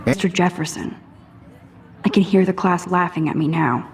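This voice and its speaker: A woman playing a self-doubting, quietly sardonic teenage student in a video game, speaking in an understated inner monologue. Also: on the low side, moderate pace, recorded from a distance, slight background noise, close to an everyday voice. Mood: self-conscious. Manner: introspective, understated, wry.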